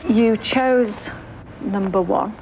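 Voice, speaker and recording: A woman interviews a contestant, asking her questions briskly. She speaks at a mid pitch. Faint noise hangs behind her. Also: a little mellow, low-quality recording.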